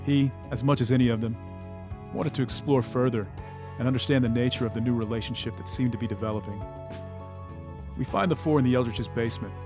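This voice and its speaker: A man's voice: medium pitch, fast, lightly acted. A man narrating a scripted audio drama. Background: music.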